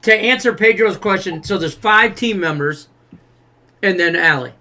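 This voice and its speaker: Jokey rambling guy cohost, pretty high pitched, bright voice. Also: moderate pace. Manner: joking, rambling. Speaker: co-host. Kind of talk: casual sports talk chat.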